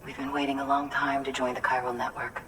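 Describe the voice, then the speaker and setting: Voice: mid-range voice, level tone, fast, sharp tone, lightly acted. A performer playing a kindly, earnest farmer in a video game, speaking in a folksy, effusive way. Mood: grateful, amazed. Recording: distant from mic, faint ambient noise.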